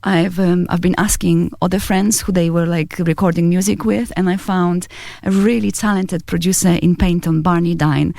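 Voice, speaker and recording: A woman in her thirties from Poland with a Polish accent, a singer-songwriter answering interview questions softly in a small radio studio on a boom mic. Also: fairly even intonation, moderate pace, gravelly, no echo. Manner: soft-spoken, laughs often, hesitant fillers. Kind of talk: casual interview chat.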